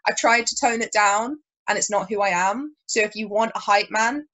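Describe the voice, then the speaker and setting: A young woman with a high-pitched voice, talking casually as a podcast guest. She is animated and laughs often. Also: moderate pace, rough recording. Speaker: podcast guest, grappling commentator. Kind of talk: casual chat.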